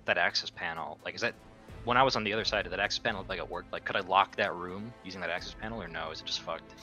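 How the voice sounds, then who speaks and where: A man with a deep but bright, forceful voice plays a tabletop game. He talks informally and thinks aloud, with faint music behind him. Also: very fast. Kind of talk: casual game-table chat.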